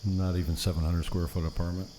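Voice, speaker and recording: A man's voice: deep voice, little pitch movement, fast talker. A man hosting a podcast, chatting in a blunt, offhand, grumbling way in a bare living room. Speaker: podcast host. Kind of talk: casual chat, muttering about setup. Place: living room of small apartment, emptied of furniture. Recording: noisy echoey living room, insects.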